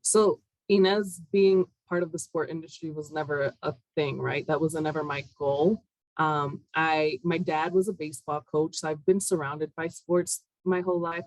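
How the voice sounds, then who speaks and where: A woman from Puerto Rico whose first language is Spanish, telling her story as a guest on a video-call interview with a relaxed, reflective manner. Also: deep voice, moderate pace, no echo, low-quality recording. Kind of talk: conversational interview.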